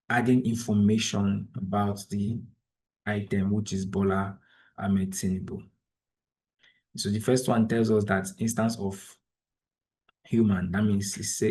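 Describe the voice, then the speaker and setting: A man's voice: lowish voice, a little mellow, husky. A man whose first language is Igbo, from Nigeria, patiently walking through an online tutorial step by step over a video call. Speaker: trainer. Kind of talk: screen-share tutorial.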